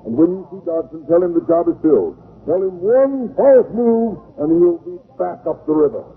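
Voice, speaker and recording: A man's voice: sits high, lively intonation, moderate pace, husky, full character voice. An actor playing a blustering, anxious male school principal, pompous and flustered, in an old radio comedy. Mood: flustered, indignant. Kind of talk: 1940s-50s radio comedy acting. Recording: noisy old radio recording, narrow band.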